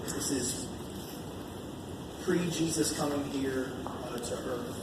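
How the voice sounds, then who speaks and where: A man's voice: medium pitch, slow pace. American guy preaching kinda casual n earnest, super echoey room, mic far off. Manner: conversational, earnest, repeating key phrases for emphasis. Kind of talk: informal sermon with scripture reading. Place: large echoey indoor church meeting space. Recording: echoey room, distant mic, background noise.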